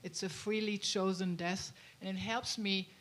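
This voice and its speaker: A woman's voice: mid-range voice, steady tone, normal speed, a bit bright. Woman, earnest, reflective, audience remark. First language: German. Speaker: audience member.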